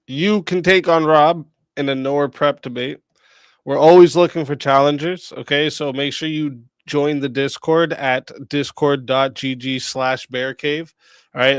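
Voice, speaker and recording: A man hosting and moderating a debate stream, wrapping up with rambling thanks to the chat, his voice going hoarse as he gets sick. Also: medium pitch, no echo. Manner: rambling, many fillers, thanks the chat at length. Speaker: debate show host and moderator. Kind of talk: casual livestream wrap-up.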